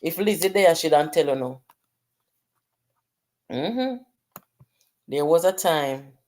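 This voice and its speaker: A middle-aged woman with a Jamaican accent hosts a casual livestream and talks straight to her viewers. Her voice sits very low for a woman, and she moves easily between patois and English as she reads out comments. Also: lively intonation, takes it slowly, darkish tone. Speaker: livestream host.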